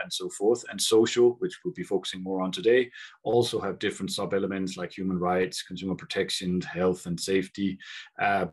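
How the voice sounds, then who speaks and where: Low husky man with a Scandinavian accent. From Denmark and Sweden. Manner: many fillers and repeated words. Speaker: conference speaker. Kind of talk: conference presentation. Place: video link from Colombia.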